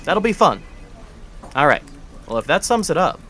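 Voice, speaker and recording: An upbeat boss chairing the morning meeting, voiced by a man in an audio drama. His voice is bright and forward, and he sounds in charge. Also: on the high side, big pitch swings, normal speed. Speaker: foundation director leading a meeting.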